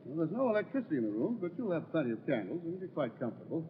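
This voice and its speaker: An actor playing a suave English bachelor with a British accent, formal and ominous, in an old-time radio drama. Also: male voice, fairly high pitch, big pitch swings, very fast, narrow, tinny audio. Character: suave English bachelor host warning of family ghost. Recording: thin, noisy vintage radio recording.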